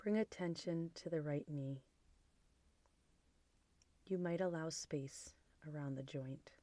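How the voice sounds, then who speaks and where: Female meditation guide: mellow, unhurried, soothing delivery, soft near-whispered endings. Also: deep voice. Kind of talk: guided body scan meditation.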